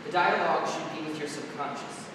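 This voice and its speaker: Guy acting a proud hurt dream lover, theatrical measured verse, lightish voice, big echoey noisy hall. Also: fairly even intonation, close to an everyday voice. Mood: reproachful. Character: Sandman, proud, wounded male dream lover with cutting wit. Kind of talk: staged reading of a verse play. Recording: distant, echoey hall, rumbling room noise.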